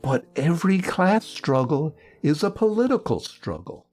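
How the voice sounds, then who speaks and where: A man reading a historical political text dramatically, with emphatic, oratorical delivery in deliberate phrases. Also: fairly high pitch, very animated, darkish tone, soft background music.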